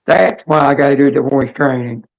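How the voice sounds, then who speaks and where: Hoarse, creaky-voiced woman chatting. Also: extremely low pitch, fairly even intonation, a little fast, darkish tone, highs cut off. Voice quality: hoarse, raspy. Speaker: livestream host. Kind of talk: casual livestream chat.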